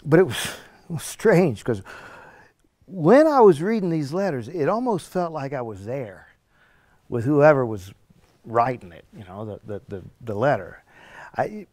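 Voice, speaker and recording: An actor playing a streetwise, remorseful burglar who tells his story in halting, conversational stage monologue. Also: medium pitch, very animated, a little mellow. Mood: earnest, searching. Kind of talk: one-man stage play monologue.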